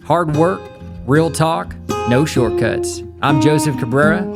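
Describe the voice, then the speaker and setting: Guy hosting a podcast, gravelly voice, easygoing and jokey, talks slangy. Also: fairly high pitch, unhurried, over loud music. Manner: laid-back, jokey, uses slang. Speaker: podcast host. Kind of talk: casual interview chat.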